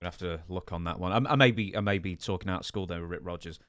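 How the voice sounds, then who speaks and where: A man's voice: low-pitched, rapid-fire, fairly bright tone, dry recording, studio quality. A man hosting a podcast, putting questions to his guest in a casual interview style.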